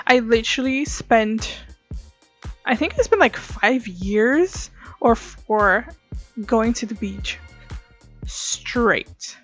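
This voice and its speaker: A woman's voice: a little high, a bit bright. A young woman telling a story in a casual, chatty way, with breezy, gushing, slangy asides. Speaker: host of an art story-time channel.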